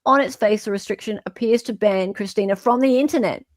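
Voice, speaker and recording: A woman's voice: mid-range voice, a little fast, no echo. An Australian woman hosting an online show, reading a legal filing aloud with emphatic, incredulous off-the-cuff commentary.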